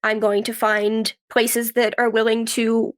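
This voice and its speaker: A woman in her twenties chatting casually as an interview guest, telling stories in an animated way with quoted asides. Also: fairly high pitch, measured pace. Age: 20s. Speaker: podcast guest, actress and writer.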